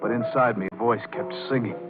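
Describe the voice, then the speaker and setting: A man's voice: lowish voice, steady tone, brisk, natural voice. Actor as brooding young man, tinny old radio. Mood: brooding, intense narration. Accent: American. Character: lovestruck, hot-headed young male piano player. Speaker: actor, first-person narrator. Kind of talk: 1940s-50s radio drama acting, noir narration. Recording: tinny old radio, band-limited, organ music behind.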